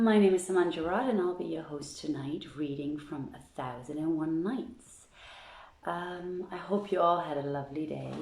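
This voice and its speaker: Low, slow-paced woman in an echoey room. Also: darkish tone. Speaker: host reading aloud at an online event. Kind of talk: informal livestream chat and storybook reading.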